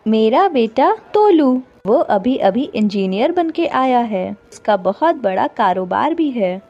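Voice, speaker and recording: A woman's voice: fairly high pitch, sing-song, slow pace, dark tone, lo-fi sound, pitched above the performer's own voice, full character voice. A woman voicing a chatty mother crow in a cartoon story, familiar and coaxing. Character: chatty scheming mother crow, matchmaking for her son.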